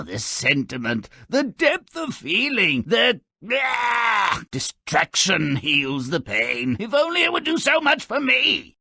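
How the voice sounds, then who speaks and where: Video game narrator role: malicious, sardonic gnome, raspy and forceful, slow relishing delivery of dark fairy tales, exaggerated and mocking. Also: male voice, medium pitch, no room sound, clean studio sound, full character voice. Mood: mocking scorn. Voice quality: raspy, gravelly.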